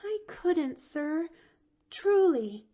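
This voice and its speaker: A woman's voice: very high voice, animated, slow pace, a little mellow, voice pushed up, full character voice. A woman reading an audiobook, voicing an earnest little girl who stammers and pleads. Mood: pleading eager. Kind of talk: audiobook character voice.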